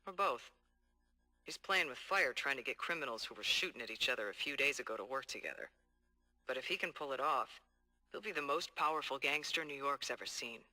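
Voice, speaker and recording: An actor playing a determined, hard-boiled police detective dictating earnest, streetwise case logs in a video game. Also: male voice, medium pitch, animated, brisk, sharp tone, faint music behind. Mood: wary, troubled. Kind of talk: video game audio log monologue.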